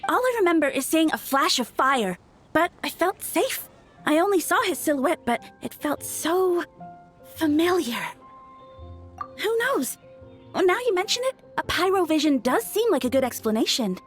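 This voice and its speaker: A woman's voice: extremely high pitch, sing-song, normal speed, soft background music. A woman voicing a dreamy, flustered, lovestruck young flower shop girl in a video game. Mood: dreamy, wistful.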